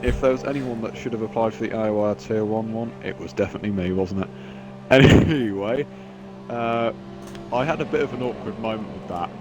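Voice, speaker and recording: A man's voice: on the low side, fairly quick, background noise. A man commentating a sim race in casual chat, sounding nasal from a cold, rambling and poking fun at himself. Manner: rambling, self-mocking, chatty.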